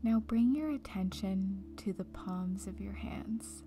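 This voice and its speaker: A woman leading a guided meditation in a soft, breathy, near-whispered voice, calm and gentle, leaving long pauses. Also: mid-range voice. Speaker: meditation guide, breakup coach. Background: music.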